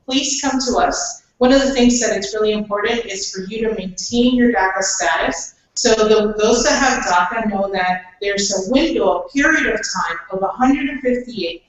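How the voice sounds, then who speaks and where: A woman from Ecuador giving an earnest orientation talk to new scholarship recipients, with frequent pauses and "um"s. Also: medium pitch, a little hiss or hum. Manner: earnest, plain-spoken, many "um"s. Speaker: scholarship program staff. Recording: echoey hall, speaker far from mic.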